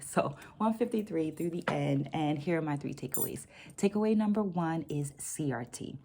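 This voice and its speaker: Low-voiced middle-aged woman host, deliberate and mellow. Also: vocal fry, a little hiss or hum. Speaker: book vlog host and dance educator. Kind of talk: reflective vlog talk with read-out quotes.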